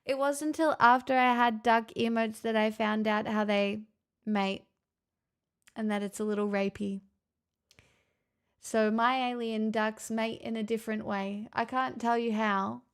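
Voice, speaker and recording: A woman's voice: fairly high pitch, steady tone, measured pace, clear, resonant tone. A woman livestreamer chatting casually with her viewers, playful, teasing and self-mocking. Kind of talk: casual stream chat with viewers.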